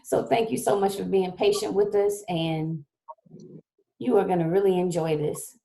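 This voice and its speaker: A woman hosts a live online talk show, her voice a little hoarse as she gets over a cold. Her tone is mellow and warm-toned, and she chats easily, often checking in with her audience. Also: fairly low pitch, steady tone, normal speed. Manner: chatty, keeps checking in with "okay?". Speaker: show host. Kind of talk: casual livestream talk show hosting.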